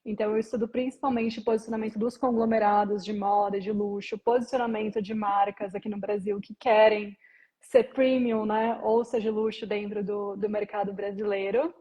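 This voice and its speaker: A woman from southern Brazil, a guest on a live stream, speaking in a relaxed, articulate way. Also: medium pitch, moderate pace, husky, lo-fi sound. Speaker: guest, luxury marketing researcher. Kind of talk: casual live chat. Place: São Paulo.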